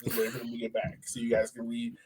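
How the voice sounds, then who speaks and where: A man's voice: medium pitch, completely flat delivery, fast, a little mellow, slightly rough. A man co-hosting a sports podcast in casual, informal, enthusiastic fan chat. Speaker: podcast co-host.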